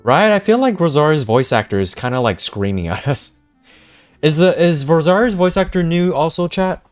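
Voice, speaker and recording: Casting: male game streamer, casual and slangy, very animated intonation, game music behind. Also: a little high, normal speed. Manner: slangy, expressive. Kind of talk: casual live reaction commentary.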